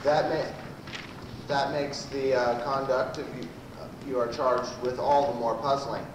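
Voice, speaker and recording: A man playing a pompous, badgering prosecutor in an amateur radio comedy, with a stumbling, halting delivery. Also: medium pitch, fairly even intonation, natural voice. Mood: probing. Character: pompous, badgering male prosecuting lawyer in sci-fi parody. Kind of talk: amateur old-time radio comedy acting. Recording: roomy, distant, noisy amateur live recording.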